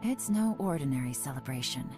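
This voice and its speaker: A woman's voice: very deep voice, animated, brisk, over music. A woman playing a cool, composed intelligence leader in a video game, terse and authoritative. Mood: cool, commanding. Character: cool, commanding female covert intelligence leader.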